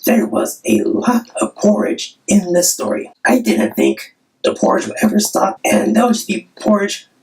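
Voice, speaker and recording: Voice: mid-range voice, normal speed, vocal fry, a little mellow, distant mic, lightly acted, poor audio. A performer voicing a cheerful, friendly monster who hosts a children's story show in simple, chatty talk. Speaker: host of children's story channel.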